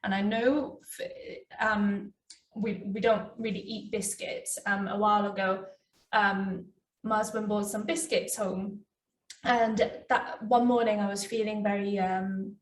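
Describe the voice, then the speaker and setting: A woman's voice: mid-range voice, level tone, unhurried. A woman co-hosting a casual health discussion, speaking in a conversational, reflective and slightly hesitant way. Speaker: doctor co-hosting a health talk. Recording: echoey room, distant mic.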